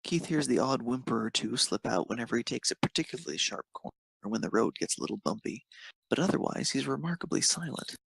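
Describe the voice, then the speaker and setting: A man's voice: mid-range voice, expressive, fast, creaky, breathy edge, dry recording. A man narrating a piece of fan fiction, reading it aloud.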